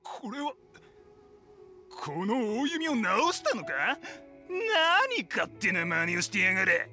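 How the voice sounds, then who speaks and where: An actor playing a rough, hot-tempered bandit leader in a video game, with a coarse, sneering delivery. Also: male voice, high voice, wildly swooping pitch, takes it slowly, pushed and bright, soft background music, full character voice. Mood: indignant. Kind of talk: Japanese game voice acting.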